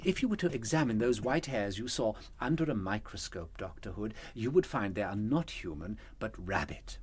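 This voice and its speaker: An actor playing a genial, unworldly priest detective in a British radio drama, English accent, husky voice, whimsical and gently teasing. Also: male voice, fairly low pitch, expressive, normal speed, slight background noise, close to an everyday voice. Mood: gleeful explaining. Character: genial, unworldly priest sleuth, beaming and shrewd. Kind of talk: full-cast British radio drama acting.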